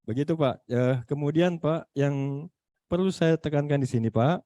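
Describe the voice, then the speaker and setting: A man from Makassar with a Makassar accent, a university lecturer speaking in an online meeting, halting and filling pauses as he searches for words. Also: on the high side, dark tone, no room sound, highs cut off. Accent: Makassar Indonesian. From Makassar, South Sulawesi. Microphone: video call.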